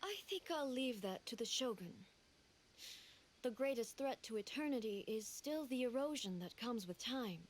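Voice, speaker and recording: Regal, solemn goddess. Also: female voice, a little high, animated. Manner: measured, formal, dignified. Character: composed regal female deity, grave and formal. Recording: game audio with music behind.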